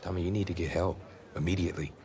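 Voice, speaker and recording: Young male quarterback, very low voice, earnest and competitive, casual athlete talk, video game dialogue, faint background noise. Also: fairly even intonation, very fast. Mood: earnest, concerned. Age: young adult.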